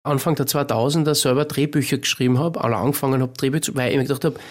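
A man's voice: mid-range voice, fairly even intonation, rapid-fire. A man in his forties with a Styrian Austrian accent, a screenwriter guest talking candidly and bluntly, often restarting his sentences. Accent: Styrian Austrian German. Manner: candid and blunt, many restarts, frequent "weißt du". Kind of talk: casual interview conversation.

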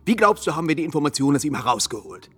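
A man's voice: fairly high pitch, very animated, very fast. A man playing an aggressive, scornful terrorist gang member in a tense radio drama. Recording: loud music over distant voice.

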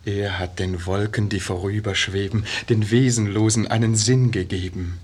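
An actor playing a reverent, dreamy pupil of a painter, reciting verse in a 1950s radio drama. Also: male voice, lowish voice, fairly even intonation, normal speed, darkish tone, husky.

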